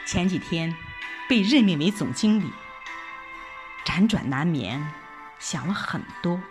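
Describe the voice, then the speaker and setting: Female audio drama narrator, reflective and unhurried, highly melodic phrasing, soft music bed. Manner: expressive, reflective recitation with deliberate pauses. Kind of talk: dramatic scripted first-person narration. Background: background music.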